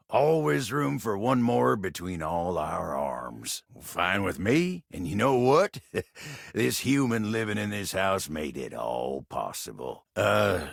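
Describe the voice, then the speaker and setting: Game romance role: bashful, courteous cowboy, deep husky voice, Western American drawl, folksy and lovestruck. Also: male voice, fairly bright tone, no echo, clean studio sound, heavily acted. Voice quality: gravelly, husky. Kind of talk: video game character voice acting.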